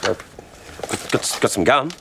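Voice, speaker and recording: A nervous male TV reporter in a naturalistic comedy short. His mid-pitched voice moves up and down a lot as he hesitates, stammers and defers. There is faint noise in the location sound. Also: animated, measured pace, creaky.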